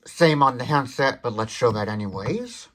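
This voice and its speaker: Man, medium-pitched voice, detailed explainer, methodical, hands-on product demonstration commentary. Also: expressive, moderate pace, a bit bright. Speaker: tech demonstration video host.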